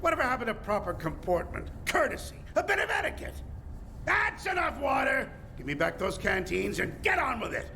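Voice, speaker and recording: A man's voice: very high-pitched, sing-song, fairly quick, vocal fry, bright, forceful tone, raised voice, slight background noise, heavily acted. A man playing a pompous, short-tempered mad-scientist villain in a cartoon audio drama, theatrical and scornful. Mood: exasperated, shouting. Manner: theatrical, scornful, grandiose diction.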